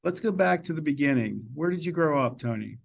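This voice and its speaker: A man hosting an interview, asking conversational questions in a measured way, pausing between phrases. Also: fairly high pitch, expressive, gravelly, husky. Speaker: interviewer, host.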